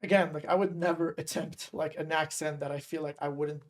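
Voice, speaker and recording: A woman from Montreal hosting a podcast interview, animated and casual, with many filler words. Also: sits low, a little fast. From Montreal, Canada. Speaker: podcast host.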